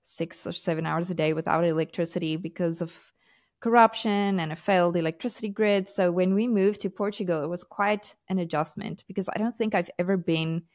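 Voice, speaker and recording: A woman's voice: on the low side, a little fast, darkish tone. A woman from South Africa with a South African accent tinged with American, co-hosting a casual podcast chat and laughing easily. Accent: South African, partly American. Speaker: podcast co-host.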